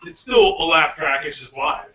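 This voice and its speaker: A man's voice: fairly high pitch, steady tone, normal speed, sharp tone, dull audio, slight background noise. A man hosting a podcast in casual group chat, joking and laughing often. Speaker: podcast host. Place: small studio, guys around a table.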